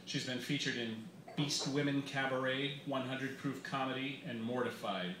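A middle-aged man introduces a performer as the host. His voice is mid-pitched and he reads from a prepared introduction. The live recording is echoey, with clear background noise. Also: fairly even intonation, normal speed. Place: small brick-walled bar, indoors. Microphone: stand microphone, camera recording.

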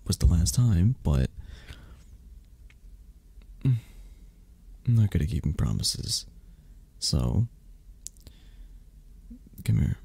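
A man playing a flirty, possessive but tender mafia boss in an intimate romantic ASMR roleplay, murmuring slowly and hesitantly in a tired, husky voice. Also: mellow, dry recording, full character voice. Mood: flirty, coaxing. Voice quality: low, husky, gravelly. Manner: slow, hesitant, murmured endearments with pauses. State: tired, drowsy. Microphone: close microphone.

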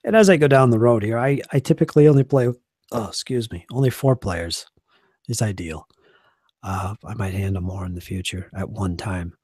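A hoarse man in his forties, a game master losing his voice, chatting casually and earnestly on a live stream from a small home study into a desk microphone. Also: lowish voice, normal speed, dry recording, studio quality. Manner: rambling, earnest, trailing off quietly. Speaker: game master and channel host.

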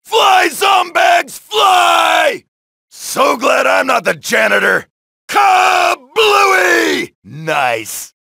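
An actor playing a brash, wisecracking male soldier who shouts taunts and one-liners while killing zombies in a video game, with a gravelly voice. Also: extremely high pitch, sing-song, drawn-out words, dry recording, some chatter behind, full character voice. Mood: taunting. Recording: dry studio voice lines.

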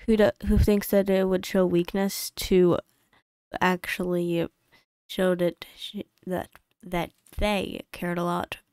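Smooth-voiced woman rambling over her drawings. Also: mid-range voice, darkish tone, dry recording, studio quality. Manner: rambling, self-correcting, quick asides. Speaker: YouTube creator. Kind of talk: casual voice-over narration of a game playthrough. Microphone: home desk mic.

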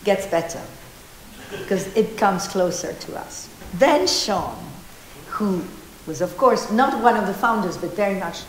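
Animated woman lecturing in an echoey hall. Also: mid-range voice, measured pace, slight background noise. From Argentina. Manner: animated, gestures a lot, many restarts and fillers. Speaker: art history lecturer. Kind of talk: informal guided talk. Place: old meeting house hall with murals. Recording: roomy hall echo.

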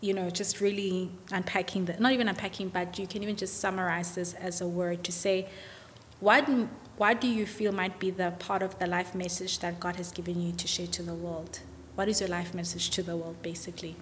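A woman's voice: on the low side, level tone, a little fast, in a large hall, slight background noise. A young woman hosting a faith podcast, casually reflecting and affirming her guest before asking a closing question. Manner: warm-hearted, affirming with frequent "mm". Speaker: podcast host. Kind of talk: casual interview chat. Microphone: studio mic on boom arm.

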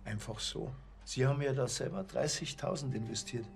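Man playing a sardonic, gravelly cop. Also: mid-range voice, moderate pace, slight background noise, lightly acted. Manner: dry, mocking remarks. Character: sardonic, laid-back male Bavarian village cop.

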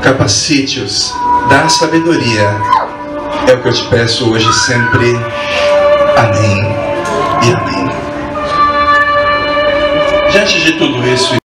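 A man's voice: mid-range voice, lively intonation, slow, long pauses. A man officiating a wedding, preaching with emphatic, rising build-ups into a handheld mic. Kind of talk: wedding sermon. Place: large wedding tent, partly open. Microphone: handheld mic, recorded by far camera. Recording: echoey, distant, music behind.

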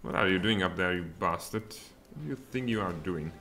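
A man's voice: deep voice, moderate pace. Czech man muttering through a strategy game. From Czech Republic. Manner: animated, muttering asides, sudden exclamations. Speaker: game streamer. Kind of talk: live strategy game commentary. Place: home room, indoors.

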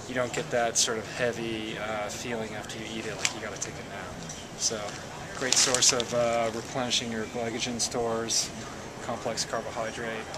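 A man in his thirties, an athlete giving relaxed nutrition tips, talking on a handheld camera mic inside a grocery store. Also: mid-range voice. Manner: relaxed, explanatory, loose with fillers. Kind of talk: casual handheld grocery tour. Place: indoor grocery store, air conditioning hum. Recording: loud store background noise.

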